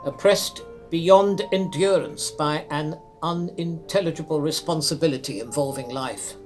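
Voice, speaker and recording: A man narrating a classic literary story aloud in a measured, formal and expressive dramatic reading style. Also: fairly high pitch, soft background music.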